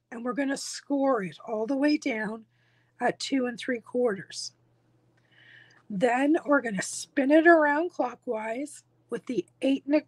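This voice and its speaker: A hoarse woman with a croaky voice, walking through a craft tutorial step by step in a reassuring, casual way. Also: a little high, dry recording, low-quality recording. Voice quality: croaky, husky. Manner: reassuring, instructional. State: hoarse, losing her voice. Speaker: craft tutorial host, demonstrator.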